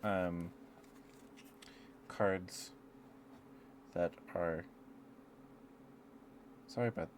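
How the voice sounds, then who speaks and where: A man's voice: sits low, fairly even intonation, drawn-out words, long pauses, a little hiss or hum. A man livestreaming casual, rambling ASMR chat, talking to viewers in a hesitant, meandering, apologetic way.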